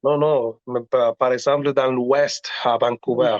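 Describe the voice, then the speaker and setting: Singer guest, male, halting and earnest, middle pitch, muffled lo-fi recording. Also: level tone, darkish tone, no room sound. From Latin America. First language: Spanish. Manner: earnest, halting, frequent restarts. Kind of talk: casual interview talk. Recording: dull lo-fi audio.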